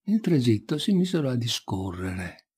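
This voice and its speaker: A man reading a folk tale aloud as an expressive storytelling narrator. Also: medium pitch, moderate pace, husky.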